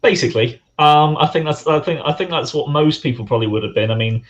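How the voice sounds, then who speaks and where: A hoarse man from England, a guest fan, chatting casually and rambling with lots of fillers. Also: fairly high pitch, fast talker, muffled recording. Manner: rambling, many fillers and restarts. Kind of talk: casual chat.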